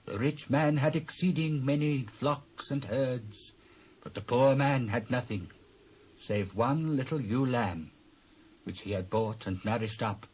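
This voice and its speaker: A grave prophet of ancient Israel with a British accent. His medium-pitched voice is measured and declamatory. He speaks with solemn authority in a scripture drama. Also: a little mellow, slightly rough, highs cut off. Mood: solemn storytelling. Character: solemn, stern prophet speaking God's judgement. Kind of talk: dramatised Bible reading, British stage acting.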